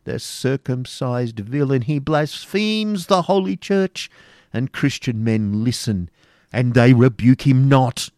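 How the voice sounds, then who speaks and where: A man's voice: medium pitch, takes it slowly, darkish tone, dry recording, studio quality, natural voice. A man voicing a pompous, indignant medieval prior who blusters scornfully and stammers when outraged.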